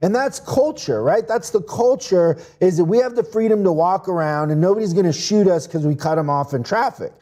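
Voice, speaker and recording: A man's voice: sits high, lively intonation, fairly quick, few pauses, warm tone. A man who grew up in Mexico, a podcast guest talking business in an earnest, casual way and often restarting his words. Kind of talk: casual interview talk.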